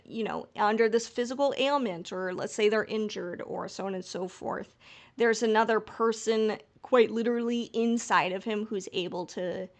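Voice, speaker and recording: A woman's voice: medium pitch, moderate pace. A woman co-hosting a podcast, talking casually and analytically, thinking aloud with frequent asides. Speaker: podcast co-host. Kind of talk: casual podcast discussion, analysis of a fiction story.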